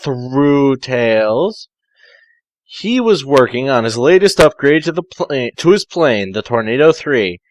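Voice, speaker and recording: A man's voice: mid-range voice, sing-song, slow pace. A man reading amateur fan fiction aloud, breaking in with dry, sarcastic asides.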